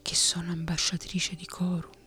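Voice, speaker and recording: A woman whispering the part of an ambitious, flattering noble queen in a fantasy audiobook. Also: fairly low pitch, moderate pace. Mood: coaxing.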